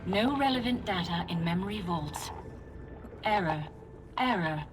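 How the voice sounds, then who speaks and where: A woman's voice: on the low side, expressive, unhurried. A woman voicing a female clockwork oracle automaton in a video game, detached and formulaic, speaking in clipped announcements. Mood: detached, analytical. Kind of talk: scripted game dialogue. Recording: loud music behind. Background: loud game music.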